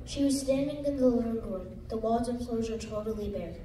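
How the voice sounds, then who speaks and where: A woman's voice: on the high side, brisk, mellow. A female student narrator reading a scripted story aloud in a reader's theater performance. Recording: echoey room, distant mic, background noise.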